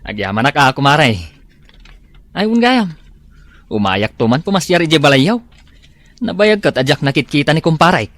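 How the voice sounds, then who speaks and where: A man's voice: fairly high pitch, sing-song, normal speed, pauses often, a bit bright, dry recording. An actor playing a friendly neighbor and godfather who gives a warning in a radio drama.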